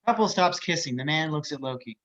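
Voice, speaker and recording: A man's voice: a little high, expressive, brisk, a bit bright, lo-fi sound. A man reading out the action descriptions of a screenplay as scripted narration.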